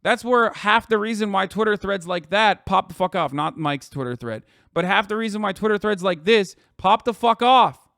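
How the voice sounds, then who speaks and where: A man live streaming, reacting to tweets and lecturing his chat in a profane, animated way full of rhetorical "okay?" asides. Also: high voice, moderate pace, no echo, clean studio sound.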